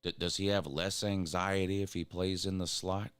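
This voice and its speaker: A man with a drawl and a very deep voice, worn raspy and hoarse. He rants bluntly and swears freely as a show host. The sound is dry and close. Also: level tone, moderate pace, dry recording. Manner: blunt and profane, ranting. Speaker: show host reading chat. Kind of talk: casual sports rant.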